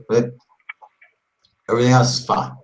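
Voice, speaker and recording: A man with a hoarse, scratchy voice, slightly rough from a cold, talks in an easygoing, patient way. He leads a relaxed conversation over a call at a mid pitch. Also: measured pace. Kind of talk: casual chat over an online call.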